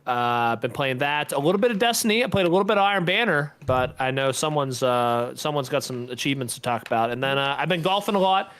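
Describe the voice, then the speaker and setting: A man's voice: medium pitch, animated, fast talker, hardly any pauses, a bit bright. A man co-hosting a gaming podcast, chatting in an easygoing, informal, slangy way. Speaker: podcast co-host. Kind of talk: casual chat on a gaming podcast.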